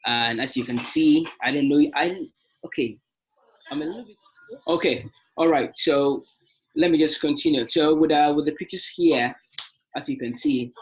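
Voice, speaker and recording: Young man from Ghana, husky voice, hesitant webinar presenter, mid-pitched. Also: poor audio. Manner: hesitant, many fillers. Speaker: alumni association president.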